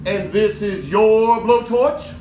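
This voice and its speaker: A man on stage playing a sly, bombastic prosecutor with sneering, drawn-out courtroom oratory. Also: high-pitched, warm tone. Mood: sly, probing. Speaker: actor in a stage play. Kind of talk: stage adaptation of a 1950s radio drama. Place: live theatre stage. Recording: echoey theatre with background noise.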